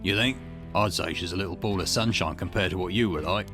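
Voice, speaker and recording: A man's voice: deep voice, fast talker, pitched below the performer's own voice. A man voicing an easygoing, teasing Cockney man in a visual novel, with a casual manner. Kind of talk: visual novel character reading. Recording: music behind the voice.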